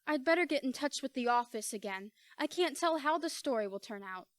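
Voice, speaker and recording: A woman playing a brisk, wry, inquisitive newspaperwoman in an amateur radio play. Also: high voice, lively intonation, no room sound, clean studio sound. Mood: curious, brisk.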